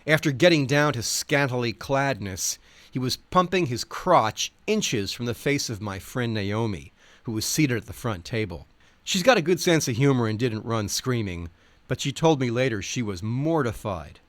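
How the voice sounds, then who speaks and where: A man reading scripted nonfiction in a wry, expressive storytelling manner. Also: mid-range voice, normal speed, no room sound. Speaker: audiobook reader. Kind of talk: scripted nonfiction narration with acted quotes.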